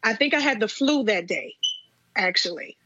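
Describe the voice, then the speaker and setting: A woman in her late thirties, a gospel singer telling stories as an interview guest, animated and laughing often. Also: mid-range voice, normal speed, dry recording, highs cut off. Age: 30s. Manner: animated storytelling, frequent laughter.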